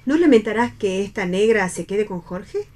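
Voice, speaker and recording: An actress in a radio play voicing a humble, devout young salvationist in love, earnest and lyrical. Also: female voice, mid-range voice, expressive, normal speed, darkish tone, lightly acted. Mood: gentle. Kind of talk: read radio theatre.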